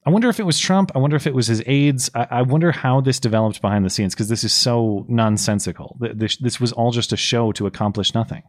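A slightly hoarse man co-hosting a podcast, recapping political news in a casual, explanatory way with plenty of fillers. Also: mid-range voice, quick pace, hardly any pauses. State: slightly hoarse, losing his voice. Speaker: podcast co-host.

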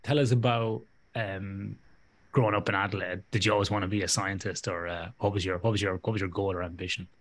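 An Irish man with a fairly low voice hosts a podcast. He chats casually with his guest, joking and teasing as he asks questions. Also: fairly even intonation, quick pace. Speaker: podcast host. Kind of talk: casual interview chat.

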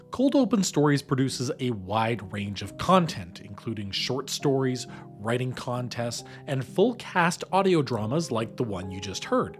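A male presenter reads a scripted outro and credits. His mid-pitched voice moves with lively intonation, and music plays softly behind him. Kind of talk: scripted promotional narration.

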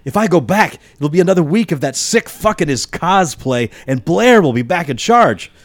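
A man's voice: on the high side, lively intonation, normal speed, pitched above the performer's own voice, lightly acted. A man voicing a disheveled, sobbing man who whines and pleads about his split personality in a comic story. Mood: agitated whining.

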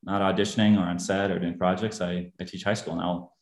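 A man born in Brazil whose first language is Portuguese, talking casually as an interview guest. His voice sits a little low and he tells his story in a relaxed, rambling, self-deprecating way. The sound bounces around a roomy space. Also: quick pace. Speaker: guest actor.

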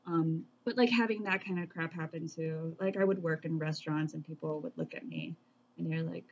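A young woman from the United States whose first language was Vietnamese, chatting candidly in a relaxed way, with lots of filler words and casual swearing. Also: low-pitched, brisk, breathy edge, dull audio. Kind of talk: casual group chat.

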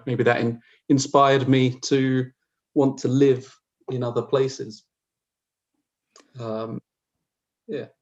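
A man's voice: medium pitch, darkish tone. Englishman in his forties, slightly muffled recording. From south coast of England. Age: 40s. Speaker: interview guest, street photographer. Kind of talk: conversational podcast interview.

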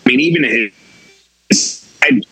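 Bright-sounding guy from puerto rico, band singer, eager and chatty. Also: mid-range voice, extremely slow, husky, no room sound, rough recording. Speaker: metal band vocalist, guest. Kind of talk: casual interview.

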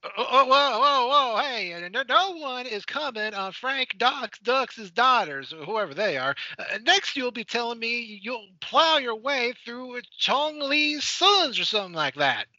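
A man's voice: very high-pitched, big pitch swings, unhurried, hardly any pauses, bright, forceful tone, no echo, exaggerated voice. A man playing a flustered, stammering wrestling colleague who objects primly in a comedic audio play. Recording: dry, muffled.

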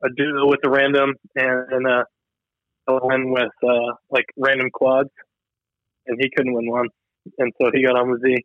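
A man's voice: medium pitch, completely flat delivery, moderate pace, no echo. Rambling young man on a dull call line. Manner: rambling, meandering, swears casually. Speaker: podcast co-host. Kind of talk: casual chat. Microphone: remote call line.

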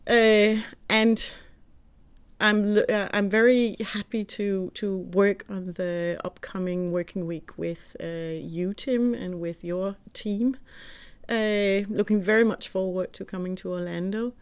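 A woman's voice: mid-range voice, fairly even intonation, slow, smooth. A woman from Denmark, introducing herself in an interview with a few hesitations. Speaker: director of an organization.

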